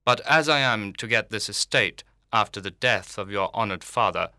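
A man's voice: fairly low pitch, normal speed, bright, forceful tone, no room sound, clean studio sound. A man playing a pompous, self-satisfied clergyman in a literary audiobook, speaking in formal, long-winded sentences. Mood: self-important. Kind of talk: dramatised literary audiobook reading.

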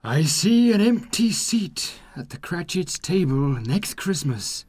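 A man voicing a solemn ghost who speaks gravely and sadly. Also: a little high, expressive, takes it slowly, no echo, voice pushed up. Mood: sorrowful. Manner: grave and sad. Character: solemn, sorrowful Christmas ghost.

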